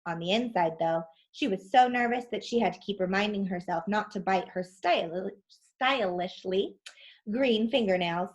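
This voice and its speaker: Lady doing a kids book read aloud, expressive narration, medium pitch. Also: normal speed. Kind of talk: expressive children's storybook narration.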